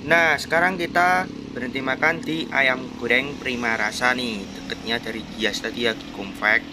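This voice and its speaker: Young man, casual vlog narration, relaxed commentary, camera mic, loud road noise behind. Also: mid-range voice, normal speed. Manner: easygoing, commenting as he goes. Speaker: vlogger. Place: inside a moving car and at a restaurant. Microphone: camera or phone mic.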